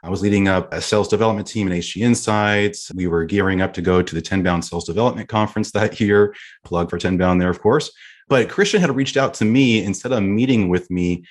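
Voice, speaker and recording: Low voiced guy hosting a business interview podcast, conversational. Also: a little fast. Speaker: podcast host.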